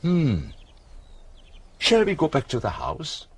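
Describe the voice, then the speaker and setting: An actor playing a shrewd, courteous, slightly vain detective with a French accent, deliberate and inquisitive, in a radio drama. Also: male voice, on the high side, huge pitch swings, slightly rough, no echo, full character voice. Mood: curious, musing. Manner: deliberate, inquisitive, halting over English words. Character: shrewd, courteous foreign detective with quiet vanity.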